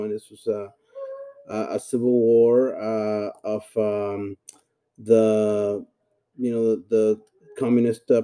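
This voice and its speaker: A man in his forties from El Salvador, giving a reflective interview in a halting, thoughtful and polite manner. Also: fairly low pitch, mellow. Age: 40s. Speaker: podcast guest.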